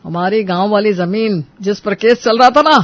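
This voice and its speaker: A man's voice: sits high, normal speed, fairly bright tone, no room sound. A man playing a stubborn, folksy older father-in-law in a cartoon story. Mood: elated. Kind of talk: Hindi moral cartoon story acting. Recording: dull, band-limited track.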